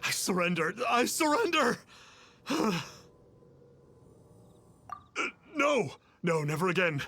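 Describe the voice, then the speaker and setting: An actor playing a theatrical, cowardly fake mystic in an anime-style video game, speaking in florid, formal, melodramatic phrases. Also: male voice, high-pitched, big pitch swings, measured pace, pushed and bright, full character voice. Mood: terrified, pleading. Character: male fraudulent mystic, theatrical, cowardly, grovelling fanboy.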